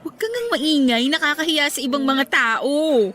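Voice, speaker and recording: A woman's voice: high voice, very animated, moderate pace, a bit bright. A woman acting a bashful, easily flustered young girlfriend in a radio drama, with a Filipino accent. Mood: surprised, bashful.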